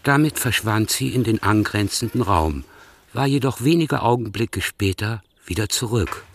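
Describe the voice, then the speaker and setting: A man with a fairly low voice narrates a radio drama in a measured, even way. A faint hiss runs under the recording. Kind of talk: scripted narration.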